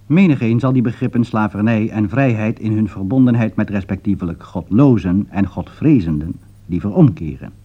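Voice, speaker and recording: A man's voice: lowish voice, mellow, muffled recording. A man reading a scripted political commentary in a formal, emphatic, rhetorical manner. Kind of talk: scripted broadcast commentary read aloud.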